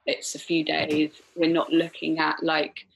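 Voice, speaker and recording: A woman from Wales, chatting as a podcast guest, full of fillers and quick laughs. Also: sits low, monotone, vocal fry, a little hiss or hum, rough recording. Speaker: film director guest. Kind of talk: casual podcast chat.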